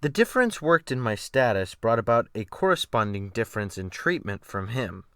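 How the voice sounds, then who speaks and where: A man narrating a literary audiobook in a theatrical style with deliberate phrasing, close to the microphone. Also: mid-range voice, sing-song, a bit bright, clean tone, dry recording. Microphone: close USB desktop microphone.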